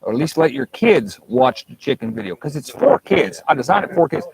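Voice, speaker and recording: A man's voice: fairly high pitch, sing-song, fairly quick, gravelly, darkish tone, a little hiss or hum, poor audio. A man hosting a casual live stream from a campsite, rambling and joking with viewers over a clip-on mic. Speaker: livestream host. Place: outdoors at a campsite. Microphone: clip-on mic with fuzzy windscreen.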